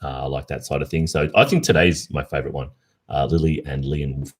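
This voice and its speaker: A man's voice: rumbling low, fairly even intonation, brisk, slightly rough. An Australian man hosting a casual livestream Q&A, chatting informally and reflectively. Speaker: ASMR roleplay creator and livestream host.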